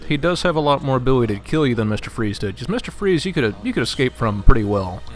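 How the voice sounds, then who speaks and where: A man's voice: medium pitch, lively intonation, fast. A man giving casual, conversational game commentary as a co-commentator, with hesitant, reflective phrasing.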